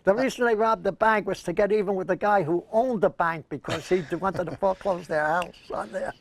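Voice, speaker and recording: A man's voice: sits high, fairly quick, gravelly, no echo. An older man with a Brooklyn accent, co-hosting a senior news show and reminiscing in a rambling, anecdotal way. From Brooklyn, New York, USA. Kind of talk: casual reminiscing chat.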